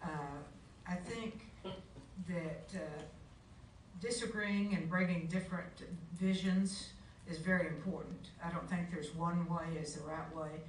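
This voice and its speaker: A woman's voice: fairly low pitch, measured pace. A woman giving an unscripted, hesitant answer as a candidate at a public forum. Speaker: school board candidate. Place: large meeting board room. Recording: roomy distant mic, faint noise.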